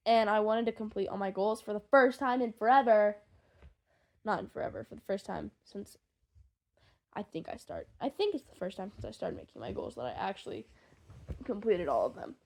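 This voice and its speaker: A young adult woman chatting casually to listeners in a rambling, gushing, excitable and slangy way, as a fan fiction creator. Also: medium pitch, brisk, creaky.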